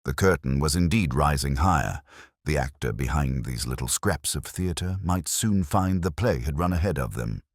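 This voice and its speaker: A man narrating a detective mystery in measured, literary storytelling. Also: extremely low pitch, expressive, no room sound, studio quality.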